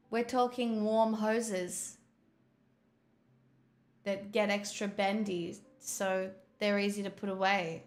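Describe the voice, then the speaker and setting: A young woman streamer chatting casually and rambling to her viewers. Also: medium pitch. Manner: chatty, rambling, talks to chat.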